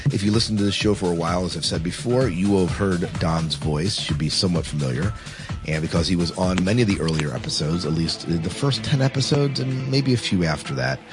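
A man's voice: deep voice, animated, fairly quick, music in the background. A man hosting a podcast in a casual, informal, rambling way. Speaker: podcast host.

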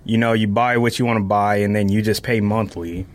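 Low-pitched man chatting casually. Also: fairly even intonation, brisk. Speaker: podcast guest talking about sneakers. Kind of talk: casual chat.

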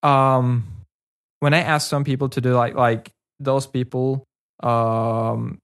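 A man's voice: mid-range voice, level tone, slow. A man, a guest in a casual interview, talking hesitantly with many fillers and restarts. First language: Karachay.

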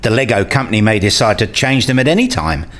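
A man's voice: lowish voice, animated, fast, fairly bright tone. A man playing an inquisitive hobbyist in a radio play, questioning and reasoning aloud. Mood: worried.

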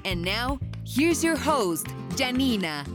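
A woman announcer reading a measured, promotional opening for a podcast. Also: fairly high pitch, very animated. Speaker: show announcer. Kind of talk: scripted promo narration. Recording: over loud music bed.

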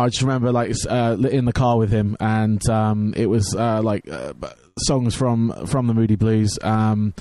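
Hoarse-voiced man hosting a podcast. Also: on the low side, a little mellow, dry recording. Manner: rambling, many ums and restarts. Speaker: radio and podcast host, DJ. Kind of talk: casual chat.

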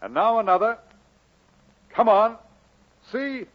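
An actor playing a kindly, earnest, encouraging minister in an old radio drama, exhorting in a solemn, measured way. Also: male voice, sits high, animated. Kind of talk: 1940s radio drama acting. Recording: muffled old radio broadcast.

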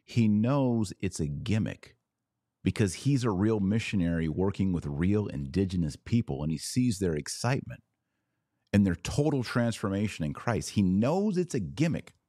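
A man's voice: lowish voice, very animated, normal speed, mellow, no room sound, studio quality. A man hosting a podcast, giving opinionated commentary with emphatic, repeated phrases. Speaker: podcast host.

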